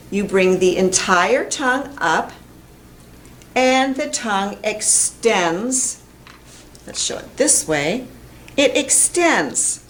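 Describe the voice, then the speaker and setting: A middle-aged American woman giving a pronunciation lesson to camera in a deliberate, emphatic, carefully enunciated way. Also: mid-range voice, a little hiss or hum. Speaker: English pronunciation teacher. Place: indoor classroom in front of a whiteboard.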